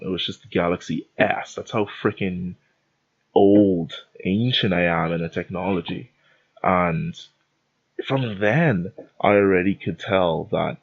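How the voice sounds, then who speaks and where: Low husky man ranting casually. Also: highs cut off. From Bahamas. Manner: rambling, self-interrupting, lively storytelling. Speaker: podcast host. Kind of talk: casual rambling rant.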